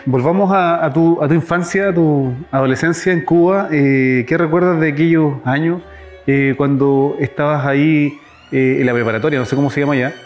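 A man's voice: on the high side, steady tone, normal speed, darkish tone, highs cut off, soft background music. A man hosting an interview, asking long questions with frequent hesitations and restarts. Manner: hesitant, many "eh" fillers and restarts. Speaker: interview host.

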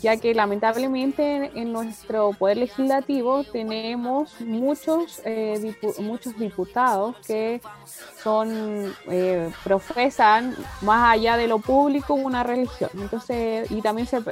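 A woman co-hosting a radio talk show, explaining things in an earnest, measured way. Her voice sits a little high. Faint music plays beneath her.

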